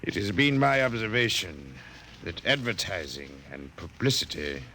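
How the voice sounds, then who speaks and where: An actor playing a courteous, formal Martian client in a 1950s radio drama, with deliberate, precise speech. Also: male voice, low voice, very animated, sharp tone, heavily acted. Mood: formal, assured. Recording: noisy vintage 1950s radio recording.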